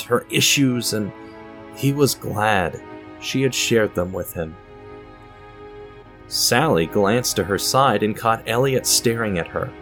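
A male narrator reads a scripted dramatic tale. His voice sits a little low, but it moves up and down in pitch with lively expression. Soft music plays behind the narration. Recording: music under the voice.

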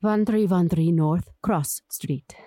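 An actress in a 1940s radio drama playing a meek wife on the witness stand who halts and protests. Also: female voice, lowish voice, dark tone, no echo. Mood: pleading. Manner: halting, protesting denials.

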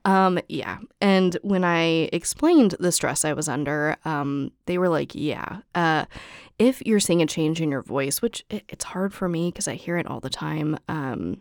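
Young woman, podcast host, hoarse, raspy, low voice, gravelly, dry close sound, chatty with fillers. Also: expressive, a little slow, no echo. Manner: chatty, frequent ums and likes, laughs softly. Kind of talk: after-show update to listeners.

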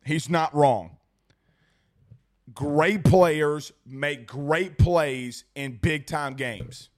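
Hoarse guy hosting a post-game stream, fired up, rambling, repeats himself, voice kinda high. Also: extremely slow. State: losing his voice, strained. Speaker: sports talk show host. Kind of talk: excited post-game livestream chat reading super chats.

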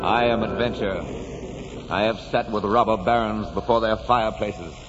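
A man's voice: on the low side, fairly even intonation, normal speed, over loud music. A man giving scripted 1940s radio narration as an announcer, dramatic and grandiose. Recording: dull, noisy 1940s radio recording, long reverb.

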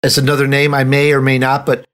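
A man's voice: medium pitch, monotone, quick pace, a bit bright. A man hosting a conversational interview, talking as an author among fellow writers. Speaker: interview host and nonfiction author.

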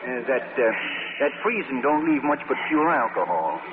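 An actor playing a hesitant, folksy small-town fellow in 1940s radio comedy-drama, speaking in halting phrases. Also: male voice, mid-range voice, very animated, background noise, close to an everyday voice. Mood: sheepish, hospitable. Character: hesitant, folksy small-town cider maker offering drinks. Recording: echoing, tinny 1940s radio, crowd behind.

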